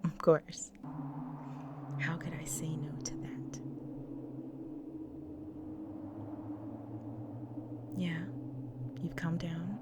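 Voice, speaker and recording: A woman playing a gentle, protective vampire wife in an intimate audio roleplay, speaking tenderly in a breathy, near-whispered voice. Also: sits low, little pitch movement. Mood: soothing, somber. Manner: tender, slow, soothing. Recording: noisy track with loud ambient sound.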